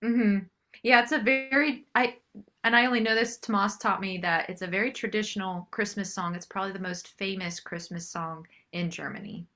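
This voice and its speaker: Bright clear-cut female voice, medium pitch, just chatting in an interview. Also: moderate pace. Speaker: interview guest, singer-songwriter. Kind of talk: casual interview chat.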